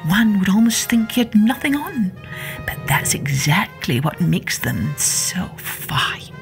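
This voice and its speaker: A man's voice: high-pitched, sing-song, slow pace, gravelly, music in the background, exaggerated voice. Fawning swindler weaver, as a woman storyteller reads him. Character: fawning male swindler weavers flattering their emperor.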